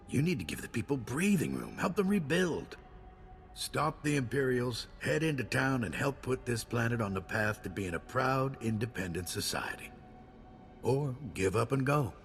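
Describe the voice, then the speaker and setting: Gravelly older man, earnest idealist. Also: medium pitch, sing-song, moderate pace, soft background music. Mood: earnest urging. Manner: earnest, persuasive. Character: gruff, idealistic retired man turned community volunteer. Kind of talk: video game dialogue.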